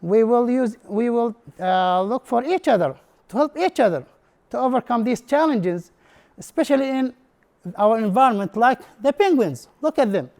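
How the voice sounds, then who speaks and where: Arabic native guy teaching in english, kinda high voice, keeps asking the room questions. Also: animated, moderate pace, a little mellow. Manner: non-native English, asks the audience questions. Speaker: instructor giving a presentation. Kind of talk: prepared talk to an audience. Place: room with an audience.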